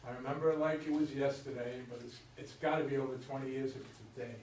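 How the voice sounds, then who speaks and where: A man's voice: mid-range voice, fairly even intonation, quick pace, natural voice. A man playing a nervous middle-aged baseball fan in an amateur staged play reading. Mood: earnest. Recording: echoey room, far from mic, background noise.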